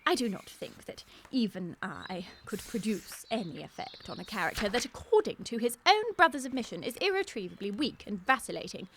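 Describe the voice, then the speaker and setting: A woman's voice: mid-range voice, sing-song, a little fast, few pauses, a bit bright, a little hiss or hum. A woman playing a stern, prim Victorian governess in a period radio comedy, speaking precisely like a moralising schoolmistress. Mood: disapproving.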